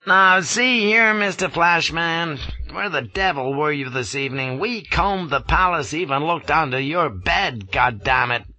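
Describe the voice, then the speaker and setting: A man voicing a gruff, irascible colonel with a rasping, indignant bark. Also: on the high side, unhurried, pushed and bright, voice pushed up, heavily acted. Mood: angry, scolding. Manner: barking, indignant, blustering. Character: gruff irascible American colonel, grizzled veteran.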